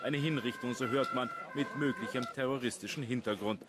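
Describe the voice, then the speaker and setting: An actor playing a formal, polite television reporter giving a live news report in a radio play. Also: male voice, mid-range voice, brisk, heavy background noise, natural voice. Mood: formal, urgent. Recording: busy outdoor crowd behind.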